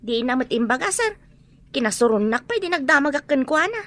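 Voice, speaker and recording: A woman's voice: sits high, very animated, brisk, fairly bright tone. A woman playing a gossipy, complaining but deferential household helper in an audio drama, with a Filipino accent. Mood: flustered, deferential. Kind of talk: Ilocano audio drama acting.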